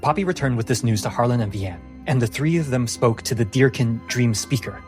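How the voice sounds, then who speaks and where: A man narrating a tabletop fantasy game as game master, in a solemn, dramatic storyteller's style. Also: mid-range voice. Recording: music bed under the voice.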